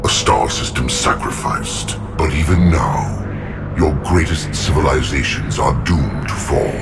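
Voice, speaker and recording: An actor voicing an ancient alien machine overlord, speaking in slow, cold, contemptuous pronouncements through a deep machine filter. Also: male voice, over loud music. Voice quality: deep gravelly booming voice. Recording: game audio with loud orchestral score.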